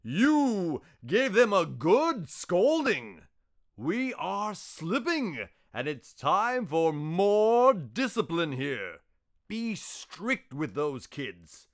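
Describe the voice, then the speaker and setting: A woman voicing a harsh circus ringmaster in a storybook, drawn-out and severe, demanding discipline. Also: on the low side, big pitch swings, heavily acted. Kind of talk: acted storybook character dialogue.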